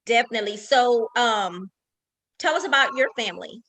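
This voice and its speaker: Bright, high-voiced woman host. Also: moderate pace. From Houston. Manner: friendly, enthusiastic reactions, laughing. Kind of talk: casual interview chat.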